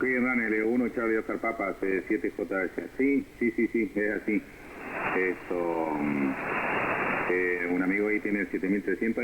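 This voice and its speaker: A man talking casually as an amateur operator over a shortwave radio. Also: lowish voice. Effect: shortwave radio transmission. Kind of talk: casual ham radio exchange. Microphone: homemade microphone. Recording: noisy narrow-band shortwave radio reception.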